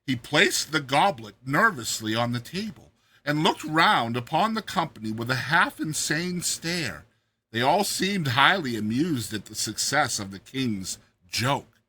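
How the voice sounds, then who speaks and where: A man's voice: medium pitch, very animated, a little slow, sharp tone, breathy edge. A man narrating a classic tale as a dramatized reading, theatrical and emphatic.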